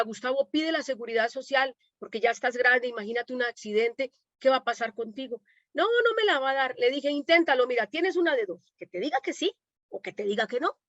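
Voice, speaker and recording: Colombian woman with a higher voice, lively storyteller, dry muffled sound. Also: dry recording. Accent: Colombian, from Medellín. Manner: animated storytelling, acts out quoted dialogue. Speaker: domestic worker activist, guest speaker. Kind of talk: conversational talk answering questions.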